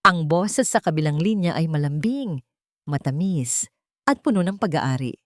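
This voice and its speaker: Woman narrating audio drama, low-ish voice, super expressive pitch, solemn and suspenseful. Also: normal speed, dry recording, studio quality. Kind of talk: dramatic scripted story narration.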